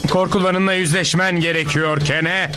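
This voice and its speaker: An actor, a man, playing a coaxing, patronising and slightly fumbling therapist in an animated cartoon dub. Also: a little high, normal speed, natural voice. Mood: goading. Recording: loud music behind the voice.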